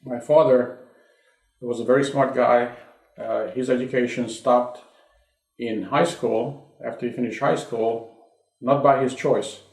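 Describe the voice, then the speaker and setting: Hungarian-born man in his sixties, oral history interviewee, reflective with restarts, mid pitch, echoey room. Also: steady tone, measured pace, a little mellow. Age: 60s. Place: his home. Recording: roomy home interview.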